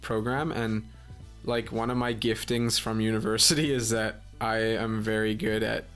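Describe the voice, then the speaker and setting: A young man with a breathy voice, a musician chatting casually as a podcast guest and telling stories with lots of filler words. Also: fairly low pitch, little pitch movement, normal speed, fairly bright tone, faint music behind. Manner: chatty, filler-heavy storytelling.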